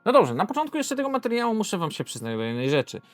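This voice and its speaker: A Polish man with a slightly high-pitched voice talks through a video review for viewers. His delivery is unscripted and informal, with hesitant pauses and frequent self-corrections. Music plays behind him. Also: huge pitch swings, no room sound. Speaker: board game reviewer presenting to viewers.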